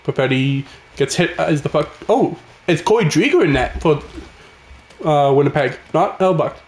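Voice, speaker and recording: A man, raspy from a cold, giving casual play-by-play commentary over a hockey video game. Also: on the high side, normal speed, reverberant. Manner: excitable, calls the action as it happens. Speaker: gaming channel host.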